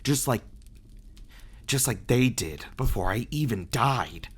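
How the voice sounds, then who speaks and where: A man's voice: medium pitch, expressive, slow pace, husky. A man playing a brooding, bitter vampire in an ASMR audio roleplay. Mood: angry, accusing. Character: brooding, bitter male vampire, resentful of his absent guardians.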